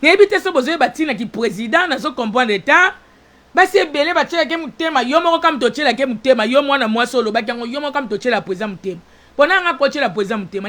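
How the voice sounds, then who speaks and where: A woman's voice: high voice, fairly bright tone. A woman with a Congolese accent giving an informal, opinionated talk as a show host, emphatic and repetitive with rhetorical questions.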